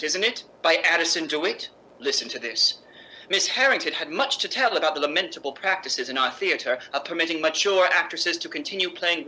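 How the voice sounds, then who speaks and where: An actor playing an exasperated, sarcastic theatre character in a radio drama, with a biting manner. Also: male voice, a little high, pushed and bright, slight background noise, poor audio. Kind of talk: old-time radio drama acting.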